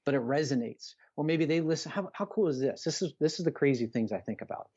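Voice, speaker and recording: A man's voice: fairly high pitch, animated, quick pace, a little mellow, breathy edge, lo-fi sound. A middle-aged man talking as a podcast guest and coach in an earnest, emphatic, conversational motivational style, repeating phrases and asking rhetorical questions.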